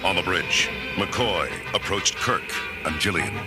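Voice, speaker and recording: A man narrating a children's adventure storybook from a script as its narrator. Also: measured pace. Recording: narration over very loud music, rumbling effects.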